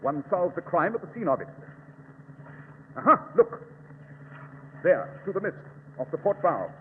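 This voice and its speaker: An actor playing a brilliant, haughty English gentleman detective in old-time radio drama, precise, pompous and commanding. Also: on the high side, sing-song, unhurried. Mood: commanding, urgent. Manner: precise, pompous, theatrical delivery. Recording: tinny, noisy, reverberant old radio recording.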